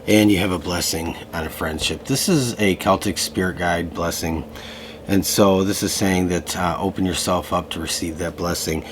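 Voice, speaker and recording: A man giving a casual tarot reading to camera, slightly hoarse from a lingering cough, talking in a loose way with many fillers. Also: sits low, normal speed, background noise. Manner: relaxed, loose, full of fillers and restarts. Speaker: tarot reader. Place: room at home.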